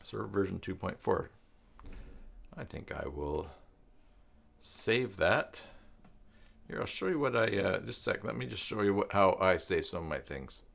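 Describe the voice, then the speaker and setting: A man hosting an informal screen-share tutorial, thinking aloud and reading out documentation in a halting, self-interrupting way. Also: deep voice, lively intonation, creaky, fairly bright tone. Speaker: live stream host giving a web tutorial. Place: at a desk by a computer.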